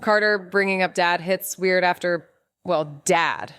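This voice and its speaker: A woman's voice: fairly low pitch, unhurried, a bit bright, clear, resonant tone. A woman playing a wry, restless young amateur investigator in a noir audio drama. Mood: wry, uneasy. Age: young adult. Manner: wry, sarcastic.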